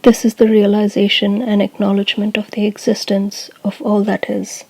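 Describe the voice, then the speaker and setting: A woman speaking as a spiritual channeler, delivering a scripted discourse in a formal, measured, solemn manner. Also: mid-range voice, flat delivery, gravelly, darkish tone, breathy edge, no echo, poor audio. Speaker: channeler speaking as a group of light beings.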